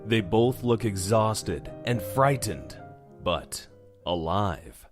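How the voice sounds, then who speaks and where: A man's voice: on the low side, animated, very slow, soft background music. A man reading scripted narration for a horror story as its narrator.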